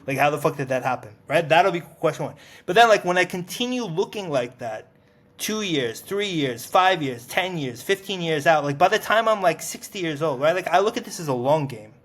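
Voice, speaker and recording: A man's voice: on the high side, lively intonation, moderate pace, fairly bright tone. A man in his forties co-hosting a casual podcast chat, talking emphatically with lots of "like" and some swearing. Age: 40s. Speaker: podcast co-host.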